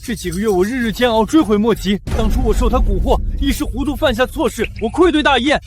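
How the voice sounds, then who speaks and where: An actor playing a remorseful disgraced general in a costume short drama, solemn and beseeching, with music behind. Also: male voice, extremely high pitch, fast talker. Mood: remorseful, confessing. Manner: earnest, solemn, pleading. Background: dramatic background music.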